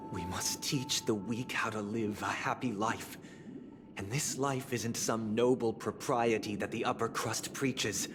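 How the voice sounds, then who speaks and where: An actor playing a composed, idealistic visionary who preaches order in a measured, grandiose, condescending way for a video game. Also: male voice, fairly low pitch, close to an everyday voice. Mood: solemn conviction. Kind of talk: dramatic game voice acting, philosophical monologue. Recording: studio voice under background music. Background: game music.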